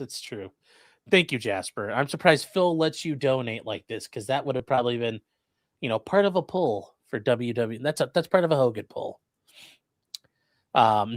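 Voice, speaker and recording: A man's voice: medium pitch, sing-song, normal speed, no room sound, clean studio sound. A man hosting a live stream, reading out viewer questions and giving casual legal commentary with wry, joking asides.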